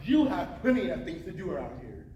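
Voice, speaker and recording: A stern, domineering small-town father with a high voice, acted by a man in a stage play. He is heard from a distance in a very echoey hall. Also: sing-song, brisk, dull audio. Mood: stern, angry. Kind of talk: high school one-act stage play. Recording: echoey auditorium, distant mic, background hiss.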